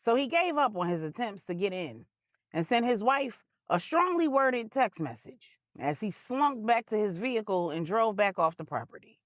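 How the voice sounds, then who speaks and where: A woman's voice: fairly high pitch, dry recording, studio quality. A woman narrating a scripted drama story for adults in a dramatic, colloquial storytelling manner with slangy touches.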